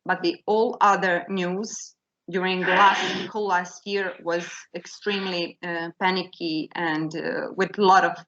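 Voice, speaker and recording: A woman giving an academic conference talk, in the language, speaking haltingly with many fillers and restarts. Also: on the low side, little pitch movement, highs cut off, background noise. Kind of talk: academic presentation. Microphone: video call.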